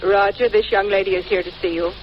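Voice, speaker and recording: An actress playing a haughty, suspicious middle-aged wife in a 1940s radio drama, speaking in a stiff, imperious way. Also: female voice, medium pitch, fairly even intonation, fast talker, close to an everyday voice. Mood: frosty, formal. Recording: muffled old radio recording, faint noise.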